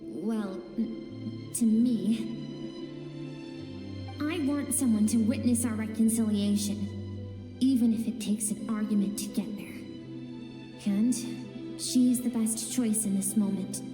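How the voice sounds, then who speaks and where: An actress voicing the gentle, suppressed inner self of a swordswoman in a video game, speaking softly and sorrowfully. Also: mid-range voice, lightly acted. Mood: earnest. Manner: soft, sorrowful, pleading. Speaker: game character. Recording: roomy reverb under game music.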